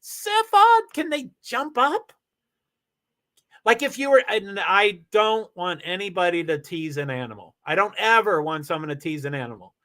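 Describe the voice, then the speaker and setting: A man streaming live from home, chatting casually and rambling excitedly with lots of exclamations and restarts. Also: sits high, moderate pace. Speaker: live streamer reading chat. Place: home in Washington State.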